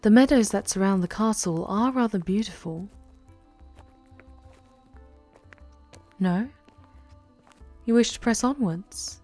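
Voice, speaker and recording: A woman playing a charming demon queen who guides a traveller through hell in an ASMR roleplay, speaking in a formal, theatrical, deliberate way with breathy near-whispers. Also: mid-range voice, dark tone, faint music behind, natural voice. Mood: teasing, measured. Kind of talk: ASMR roleplay, dramatic monologue addressed to the listener.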